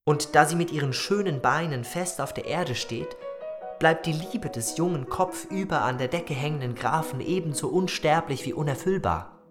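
An actor playing an earnest, ironic writer recounting his youth in a measured, theatrical literary radio narration. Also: a little high, lightly acted. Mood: wry, reflective. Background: music.

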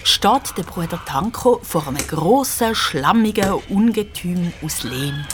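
A woman's voice: on the low side, expressive, unhurried. A woman with a Swiss accent narrating a children's story in a playful, dramatic way.

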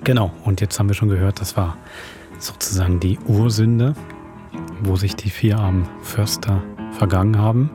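A man's voice: fairly low pitch, steady tone, moderate pace. A man with a Swiss German accent chatting casually as co-host of an audio drama podcast. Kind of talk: casual episode commentary. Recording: guitar music behind.

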